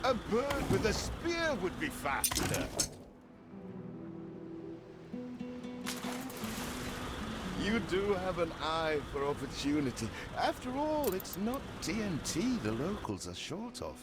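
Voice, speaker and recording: An actor voicing a loyal, scruffy drifter companion in a video game, grumbling and teasing in colloquial speech. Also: male voice, high-pitched, huge pitch swings, measured pace, few pauses, heavy background noise, exaggerated voice. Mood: amused. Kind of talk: video game character acting. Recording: loud music underneath. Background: game music.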